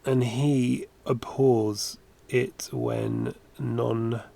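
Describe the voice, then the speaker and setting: A man's voice: mid-range voice, very animated, drawn-out words, vocal fry, mellow. A man in his thirties chatting in a rambling, self-correcting way while drawing on a livestream, recorded on a headset at home. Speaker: animation streamer. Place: home studio, indoors.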